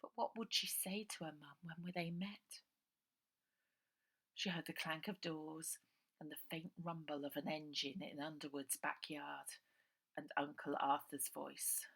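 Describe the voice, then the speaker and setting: A woman narrating her own children's novel in an expressive, suspenseful way, often dropping to a breathy near-whisper. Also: low voice, measured pace. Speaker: author reading own novel. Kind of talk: dramatic children's audiobook narration.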